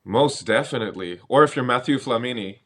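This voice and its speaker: A man's voice: mid-range voice, brisk, fairly bright tone. A man who grew up in Bangladesh, hosting a casual interview with an eager, chatty manner and frequent laughs. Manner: chatty, enthusiastic, calls guest "bro", laughs often. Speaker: interviewer, host.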